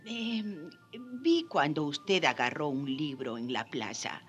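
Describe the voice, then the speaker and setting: Old native woman in a radio drama, slow and grave, voice swings a lot, music behind. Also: deep voice, very animated, heavily acted. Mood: hesitant, pleading. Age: older. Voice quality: hoarse when reading forcefully.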